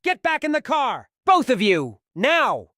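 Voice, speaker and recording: A woman's voice: high-pitched, huge pitch swings, a little slow, a bit bright, no echo, studio quality, voice pushed up, exaggerated voice. A woman voicing a worried, commanding father in an audiobook drama. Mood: desperate, commanding.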